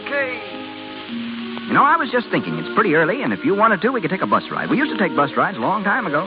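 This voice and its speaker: An actor playing an earnest, tender young husband in a 1930s radio drama. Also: male voice, high voice, wildly swooping pitch, quick pace. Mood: hesitant, hopeful. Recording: muffled old radio recording with music.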